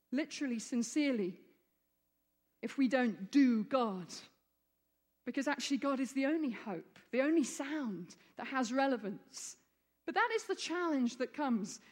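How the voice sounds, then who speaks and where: Female preacher raised in Egypt, high voice, earnest sermon delivery building to fervent peaks, big hall reverb. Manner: earnest, rising to fervent emphasis. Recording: long reverb of a large hall.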